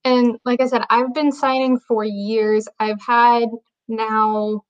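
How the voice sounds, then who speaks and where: A woman's voice: on the high side, little pitch movement, slow. A young adult woman, a guest who teaches sign language, talking casually and rambling with lots of "like" and restarts. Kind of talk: casual conversation. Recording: dull lo-fi audio.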